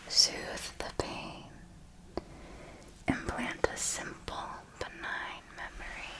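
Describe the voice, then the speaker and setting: A centuries-old female vampire mentor, played by a woman, whispers in an ASMR roleplay. She draws her phrases out very slowly and deliberately, doting on her listener with patient, amused, predatory menace. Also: mid-range voice, poor audio. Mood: calm, instructive. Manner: slow, deliberate, hushed, doting yet menacing.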